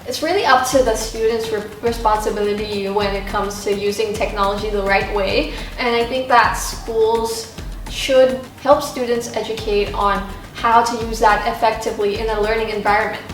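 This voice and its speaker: High-pitched teenage Thai girl, echoey room. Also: fairly even intonation, moderate pace. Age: teenager, high school student. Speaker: student panelist. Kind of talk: semi-prepared discussion of school life. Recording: echoey room, distant mic, music behind.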